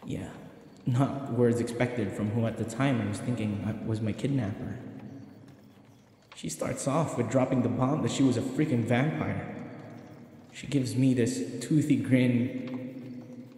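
A man's voice: mid-range voice, a little slow, pauses often, warm tone. A young man narrating a nervous, bewildered first-person audio roleplay, like reading a journal entry aloud. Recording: roomy reverberant echo.

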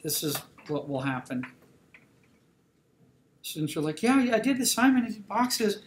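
A man's voice: high voice, sing-song, normal speed. A man teaching a drawing class, talking casually and checking in with students. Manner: conversational, repeats "okay?" checks with students. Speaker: drawing instructor. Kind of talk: classroom lecture while drawing. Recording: roomy classroom with faint noise.

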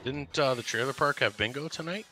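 A man's voice: medium pitch, brisk, over music. A man playing an online game who throws crude insults at another player in casual banter. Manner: crude insulting trash talk. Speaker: gaming channel co-host.

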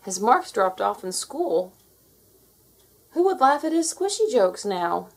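Woman, storybook reader, expressive narration for kids, gravelly, raspy edge. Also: fairly high pitch, slow pace. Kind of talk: expressive scripted read-aloud for children.

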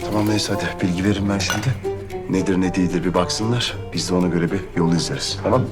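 An actor playing a sarcastic young police commissioner in a TV drama, teasing and deadpan. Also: male voice, low-pitched, fast, close to an everyday voice. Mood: dry. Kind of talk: TV series acting. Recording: music score under dialogue.

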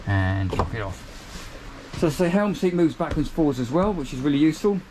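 A man's voice: fairly high pitch, sing-song, normal speed, very noisy. A man, giving an upbeat walkthrough tour of a small motor boat cabin, filmed on a handheld camera. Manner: chatty, upbeat, pointing out features as he moves. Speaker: boat reviewer.

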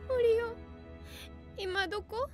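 A gentle teenage girl in an anime film, extremely high-voiced, with a rural Okayama accent. She sounds guileless and soft-hearted, with music behind her. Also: takes it slowly. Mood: worried. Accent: western Japanese Okayama dialect.

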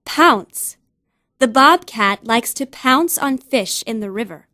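Woman, educational narrator, higher pitch, slow careful delivery, dry recording. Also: expressive, pushed and bright, dry recording. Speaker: vocabulary narrator.

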